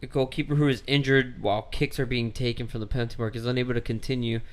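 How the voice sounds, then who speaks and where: A man co-hosting a casual sports podcast at home, speaking in a dry, teasing way and pausing as he reads rules aloud. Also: medium pitch, little pitch movement. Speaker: podcast co-host.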